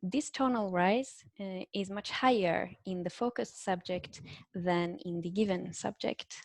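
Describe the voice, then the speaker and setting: A woman's voice: mid-range voice, gravelly, no room sound. Woman giving an academic talk. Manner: measured, frequent "uh" fillers, explanatory. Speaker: linguistics researcher presenting a talk.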